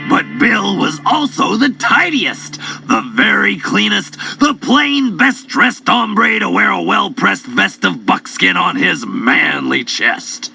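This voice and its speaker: A man narrating children's stories in a playful, theatrical, drawn-out way. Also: sits high, lively intonation, creaky. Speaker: storyteller. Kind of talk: animated children's story narration. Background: music.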